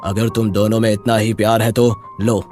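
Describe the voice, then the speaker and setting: A man's voice: lowish voice, flat delivery, moderate pace, darkish tone, soft background music, natural voice. A man voicing a theatrical, commanding holy man who catches serpents in a moral story. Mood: repentant.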